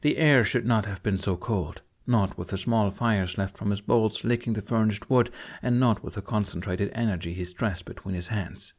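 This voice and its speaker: A man's voice: lowish voice, moderate pace, hardly any pauses. A man reading dramatic fiction as a scripted audiobook narrator.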